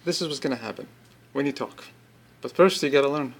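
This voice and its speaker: A man presenting an informal product demonstration, explaining step by step in halting phrases and repeating himself. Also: mid-range voice. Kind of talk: informal spoken product demo.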